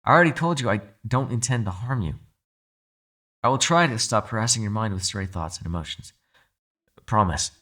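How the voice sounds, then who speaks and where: Man acting a proud, bright-voiced captive yokai gentleman. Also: on the low side, animated, fairly quick, long pauses. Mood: earnest, softer. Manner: articulate, courteous but demanding, speaks directly to listener. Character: captive male yokai, gentlemanly, proud, protective telepathic prisoner. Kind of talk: ASMR audio roleplay, dramatic character acting.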